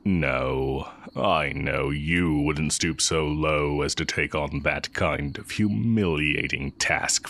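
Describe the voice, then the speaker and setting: An extremely deep, slow-talking heretic sorcerer acted by a man, once an academy teacher. He speaks intimately and straight to the listener, with smug, wry condescension and an undercurrent of affection, as in a fantasy audio roleplay. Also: fairly bright tone, heavily acted. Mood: wry, disdainful. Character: exiled heretic dark-magic teacher, smug, fond, villainous.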